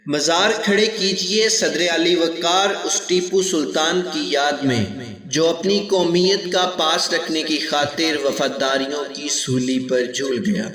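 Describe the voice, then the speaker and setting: A young man with a Pakistani accent delivers a formal patriotic speech in an impassioned, rhetorical manner, with emphatic phrasing and deliberate pauses. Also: a little high. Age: young adult. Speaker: orator. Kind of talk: formal declamatory patriotic speech with recited verse. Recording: echoey reverberant hall sound.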